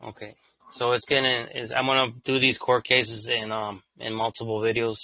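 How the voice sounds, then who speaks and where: Brief: male host, bright and sharp tone, reads legal text flat then drops into loose slang asides, monotone by his own account. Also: mid-range voice, moderate pace, dry recording, rough recording. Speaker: channel host reading and commenting on court cases. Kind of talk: casual read-aloud commentary.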